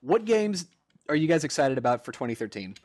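A man's voice: a little high, big pitch swings, brisk, darkish tone. Playful man hosting a casual podcast. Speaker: podcast host. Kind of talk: casual chat.

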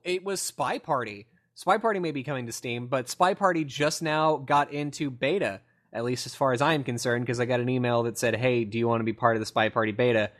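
A man's voice: mid-range voice, fairly bright tone. A man hosting a casual gaming podcast, explaining things in a rambling, enthusiastic way. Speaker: podcast host.

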